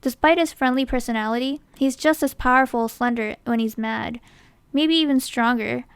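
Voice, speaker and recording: Female casting-call host: smooth high voice, scripted explainer delivery, dry close sound. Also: moderate pace, no echo. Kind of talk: scripted narration.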